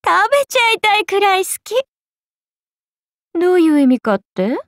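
A woman's voice: very animated, normal speed, no room sound, clean studio sound, full character voice. A woman voicing a playful, teasing serpent-oni goddess for a mobile game, with breezy big-sister airs and a drawling, sake-loving manner. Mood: bubbly, gleeful. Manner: breezy, drawling, teasing, slipping into low murmurs. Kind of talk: Japanese mobile game character voice lines.

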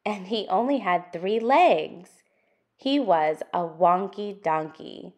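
A woman hosting an interactive children's storytime, reading a picture book aloud with expressive, sing-song, deliberate phrasing. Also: medium pitch.

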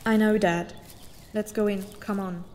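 A woman's voice: mid-range voice, steady tone, unhurried, a little mellow, long reverb tail, slight background noise, lightly acted. A woman playing a patient phantom daughter in a sci-fi audio drama, speaking in a blunt, dry, matter-of-fact way. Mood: gently coaxing.

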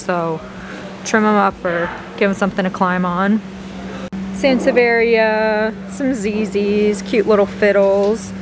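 A woman giving casual, chatty shopping vlog commentary, appreciative and half talking to herself as she browses, recorded on a handheld camera in a large store garden center. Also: mid-range voice. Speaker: vlogger, host. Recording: clear store background noise, ventilation hum.

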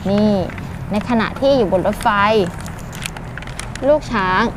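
A woman's voice: medium pitch, slow, background noise. A woman teacher telling a puppet story to young children in simple, repeated phrases. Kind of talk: children's storytelling with questions and sound effects.

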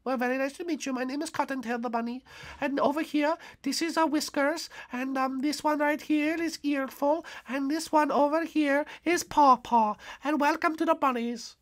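A woman voicing a polite, hesitant bunny in improvised game roleplay, speaking in a halting, courteous way. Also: sits high, fairly even intonation, natural voice. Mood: polite, curious.

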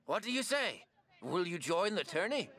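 A man's voice: sits high, very animated, moderate pace, sharp tone, heavily acted. A man playing a chipper, folksy old fishing shopkeeper in a video game, with an eager sales manner. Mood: chipper, inviting.

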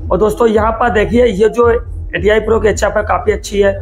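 A man's voice: sits high, fairly even intonation, brisk, faint music behind. A man giving a casual, rambling product showcase as a vlogger, repeating himself and addressing viewers like friends.

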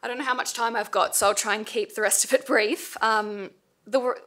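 A woman's voice: fairly high pitch, fairly even intonation, a little fast, sharp tone. Young woman with a tired, fading voice. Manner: hesitant, many fillers and restarts. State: losing her voice. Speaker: panel speaker, former intern. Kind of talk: informal panel talk. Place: seminar room, panel table. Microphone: table mic.

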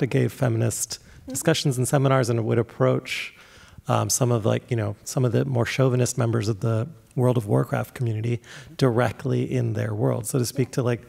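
A man with a heavily gravelly, fry-laden voice speaks on a discussion panel through a handheld mic. He talks casually and eagerly. His pitch sits in the middle range. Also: darkish tone. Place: large stage in a hall.

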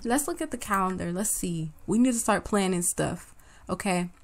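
A woman casually narrates her gameplay session, tossing slang and asides right at her audience. Her voice sounds slightly worn, like she is losing it. Her tone sits at an ordinary middle pitch for a woman. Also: animated, normal speed. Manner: chatty, slangy, talks straight to viewers. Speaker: gaming channel host. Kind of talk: casual let's-play commentary.

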